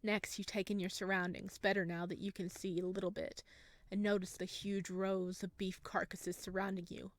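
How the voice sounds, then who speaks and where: A woman reading a visual novel aloud for her channel, with an expressive, animated delivery. Her pitch is mid-range, and a close mic gives a dry sound with no echo. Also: normal speed. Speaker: gaming YouTuber.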